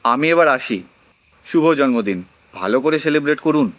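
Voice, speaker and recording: A humble young delivery boy voiced by a man for an audio story. He sounds earnest and deferential, polite to the point of shyness. His mid-pitched voice is recorded close and dry. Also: lively intonation, normal speed, a bit bright, no room sound, lo-fi sound, natural voice. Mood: polite, affectionate. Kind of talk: audio horror story acting.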